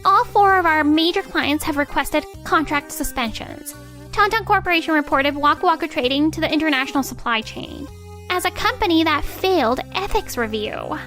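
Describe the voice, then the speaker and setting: Woman acting as urgent office assistant, super high voice, dramatic, manga dub, bit of music. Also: lively intonation, moderate pace, sharp tone. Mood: alarmed.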